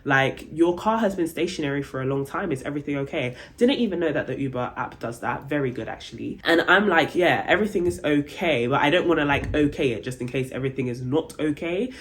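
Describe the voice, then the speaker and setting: A woman's voice: fast talker, few pauses. Chatty young woman telling a travel story. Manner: animated, acts out dialogue with big pitch jumps; chatty, many fillers like "like" and "um". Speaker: travel vlogger. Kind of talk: casual storytelling to camera.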